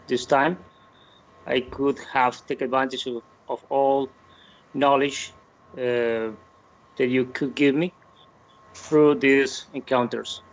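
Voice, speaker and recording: A man in his fifties with a Colombian Spanish accent, introducing himself to his class over a video call, halting and repeating words. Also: mid-range voice. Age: 50s. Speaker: student. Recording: muffled call audio.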